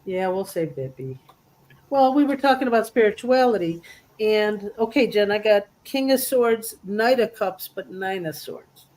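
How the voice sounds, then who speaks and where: Chatty roundtable co-host, middling pitch. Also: expressive, unhurried, a little mellow, rough recording. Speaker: roundtable co-host reading cards. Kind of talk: casual spiritual roundtable chat.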